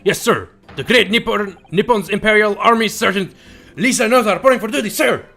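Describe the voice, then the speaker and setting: A man's voice: sits high, a little fast, creaky, sharp tone, soft background music, pitched above the performer's own voice, heavily acted. A man voicing a zealous army sergeant from a video game, reporting in clipped, emphatic military bursts. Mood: barking, zealous.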